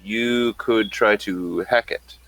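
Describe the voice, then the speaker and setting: Slow, low-voiced male game master. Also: a bit bright, rough recording. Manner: halting, with many fillers. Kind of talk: narrating a tabletop game.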